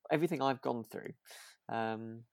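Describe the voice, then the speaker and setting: A woman's voice: extremely low pitch, moderate pace, vocal fry, darkish tone, no echo. A woman speaks in a dry recording with very little room sound. She chats informally as a podcast co-host, agreeable and a little hesitant, feeling out her thoughts as she goes. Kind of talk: relaxed casual chat about a radio drama.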